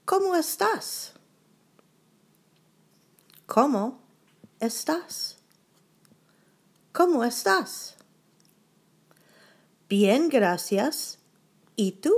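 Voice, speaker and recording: Slow, careful woman drilling phrases. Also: high voice, sing-song. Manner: slow, deliberate, each phrase repeated three times. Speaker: language teacher. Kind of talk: slow repeat-after-me language drill.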